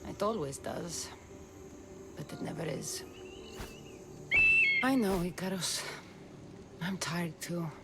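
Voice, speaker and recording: A woman's voice: fairly low pitch, slow, pauses often, loud music behind the voice. A woman playing a guarded, loyal mercenary hero in a video game, dry and earnest. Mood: weary, reflective. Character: guarded, loyal adult female Greek mercenary hero, dry and world-weary. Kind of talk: video game cutscene acting.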